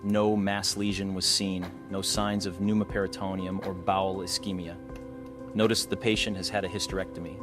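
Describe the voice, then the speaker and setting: A man's voice: low-pitched, little pitch movement, moderate pace. Man explaining medical cases over music. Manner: instructional, poses questions to the viewer. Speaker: radiology instructor presenting cases. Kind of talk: explanatory case walkthrough narration.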